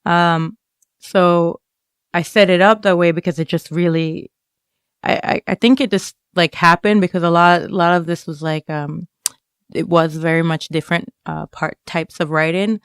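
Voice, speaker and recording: A woman giving a reflective personal interview, speaking haltingly and often dropping in "like" and "you know.". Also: on the low side, fairly even intonation, clean tone, no echo, clean studio sound. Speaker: interviewed writer.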